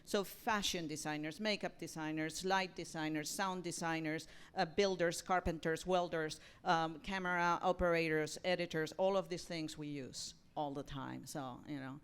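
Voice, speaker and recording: A woman speaking as a theatre program director leading an informal feedback talkback, halting and rephrasing as she thinks aloud. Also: low voice, steady tone, clear, resonant tone. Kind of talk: informal post-reading talkback with audience.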